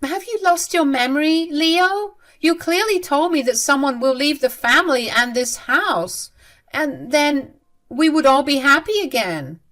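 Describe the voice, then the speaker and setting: A female narrator puts on an indignant middle-aged mother-in-law from a family drama story. The voice sits high, with exclaiming, irritated questions and a defiant air of innocence. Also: normal speed, fairly bright tone, natural voice. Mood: frustrated.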